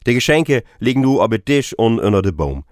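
A man with a northern German Low German accent giving a homely scripted radio devotional, addressing listeners directly. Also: very animated, fairly quick, no echo, studio quality.

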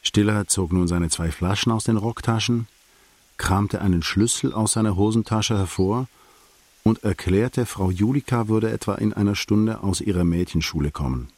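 An actor playing a reflective male prosecutor, narrating a literary radio drama in a measured, detached, observant way. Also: lowish voice, darkish tone, no echo. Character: reflective male state prosecutor recounting a visit to friends.

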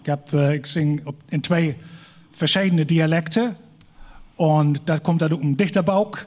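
A man who plays folk music, chatting as a guest. He talks in a halting way and often repeats words. His voice sits high for a man. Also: fairly even intonation, a little mellow. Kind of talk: casual chat.

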